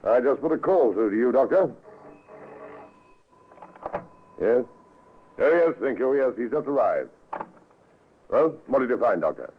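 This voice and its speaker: An actor playing a brisk, skeptical police superintendent in clipped, questioning old-time radio drama style. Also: male voice, mid-range voice, expressive, a little hiss or hum, lightly acted. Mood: probing. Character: brisk, skeptical British police superintendent, courteous but authoritative. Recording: muffled vintage radio recording, narrow band.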